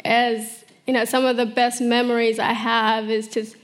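A woman's voice: a little high, little pitch movement, normal speed. Earnest young woman from South Sudan. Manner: earnest, uses many "you know" fillers. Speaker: interview guest, model. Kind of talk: reflective interview answers.

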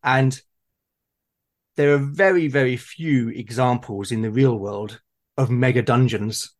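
A man's voice: mid-range voice, a little slow. A man in a casual discussion about gaming, speaking hesitantly and repeating words as he thinks. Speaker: tabletop gaming podcast speaker.

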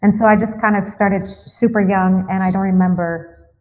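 A woman's voice: mid-range voice, fairly even intonation. Woman from Greece on a tinny line. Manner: thoughtful, pauses with fillers before answering. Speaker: guest. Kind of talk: casual interview chat.

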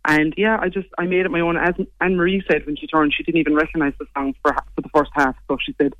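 A young Irish woman with a low voice, chatting quickly as a singer guest in a casual interview. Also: flat delivery, no echo, highs cut off. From Ireland. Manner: quick, chatty, lots of "like" and "you know".

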